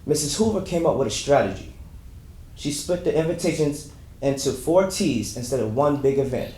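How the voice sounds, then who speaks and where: A man's voice: medium pitch, animated, normal speed, a little mellow, natural voice. A man playing a proud congressman, telling a story from his own life as a formal dramatic reading. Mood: proud, wry. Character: Oscar DePriest, proud Illinois congressman recalling a social battle. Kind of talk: first-person dramatic storytelling. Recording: echoey room.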